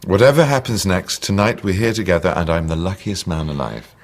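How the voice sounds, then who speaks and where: A courteous, brooding doctor in a radio drama. His voice is deep, creaky and husky. He carries an intensity under his charm, like a man shaken by war. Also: lively intonation, brisk. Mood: romantic, playful. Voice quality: gravelly, husky.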